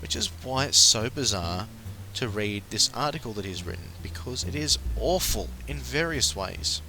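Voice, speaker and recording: A man's voice: mid-range voice, expressive, normal speed, bright, forceful tone, a little hiss or hum, rough recording. An Australian man hosting a pop culture podcast, talking casually with lots of ums and uhs. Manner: chatty, frequent ums and uhs. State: recovering from flu. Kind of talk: casual solo commentary.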